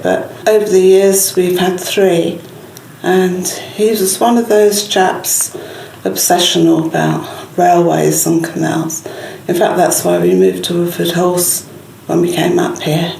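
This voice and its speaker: A woman's voice: fairly low pitch, vocal fry, a little mellow. An older woman reminiscing in an interview, telling anecdotes in a conversational way. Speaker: councillor interviewee. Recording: echoey room with faint noise.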